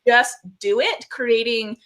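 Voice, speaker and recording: Bubbly bright-voiced woman host. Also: a little high. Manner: bubbly, fast, fillers like "like". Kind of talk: live online interview.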